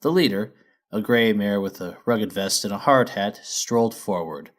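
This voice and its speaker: A man's voice: on the low side, normal speed. A man reading a children's fantasy story as narrator, in scripted storybook narration.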